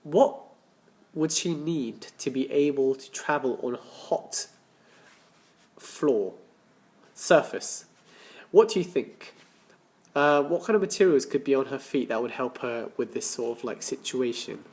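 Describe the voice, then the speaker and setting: A man reading a children's story aloud as a teacher, with deliberate dramatic emphasis and questions to his listeners. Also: mid-range voice, animated, a little mellow. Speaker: primary school teacher.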